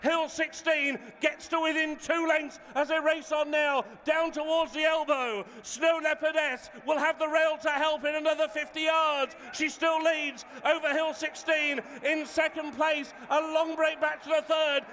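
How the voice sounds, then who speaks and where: A man's voice: extremely high pitch, pushed and bright, big-room sound, a little hiss or hum. A man giving live horse racing commentary as a fluent running call in clipped phrases.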